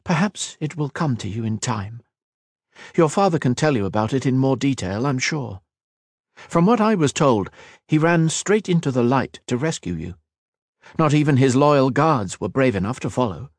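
Man voicing a gentle, high-pitched elf woman. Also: sing-song, no echo, clean studio sound. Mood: calm explaining. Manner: soft-spoken, deliberate, formal. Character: gentle elf woman, calm, measured, evasive.

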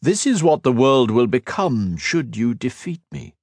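A man voicing a cold, mocking villainous male sorcerer who taunts slowly and theatrically. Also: mid-range voice, very animated, creaky, darkish tone, dry recording, voice pushed up, full character voice. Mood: taunting.

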